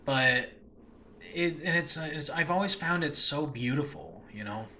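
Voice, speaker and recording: Bright-voiced man rambling earnestly on a podcast. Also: fairly high pitch, animated, measured pace. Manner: rambling, self-deprecating, earnest. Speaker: host and audio drama creator. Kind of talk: casual, unscripted podcast monologue. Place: makeshift room in a new home. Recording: noisy makeshift home recording.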